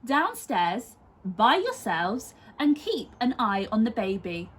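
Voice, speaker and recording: A woman voicing a weary, exasperated mother elephant in a children's story, firm and long-suffering with drawn-out emphasis. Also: a little high, very animated, slightly rough, heavily acted.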